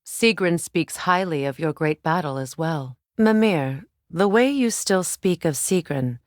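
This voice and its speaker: An actress playing a proud, determined Norse goddess and Valkyrie queen in scripted video game dialogue, measured and composed in manner. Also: sits low, lively intonation, dry recording, studio quality. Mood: composed, explanatory.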